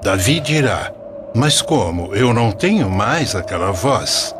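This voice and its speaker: A man's voice: on the low side, very animated, unhurried, background noise. A man narrating a scripted religious story in a solemn storyteller's manner.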